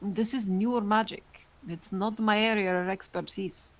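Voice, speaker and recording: An ancient vampire woman, voiced by a woman, calm and formal. She stays composed and measured, even under threat, in a mid-pitched, steady voice. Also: no room sound, lightly acted. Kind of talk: amateur online table read.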